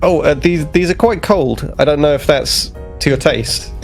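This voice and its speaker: A man's voice: fairly high pitch, moderate pace, gravelly, faint music behind. A man playing a naive, cheerful young starship ensign in a tabletop game, friendly, polite and a little hesitant. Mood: friendly, eager.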